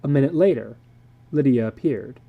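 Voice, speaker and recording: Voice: mid-range voice, animated, moderate pace, vocal fry, husky, narrow, tinny audio. A narrator giving a dramatic reading of a short story.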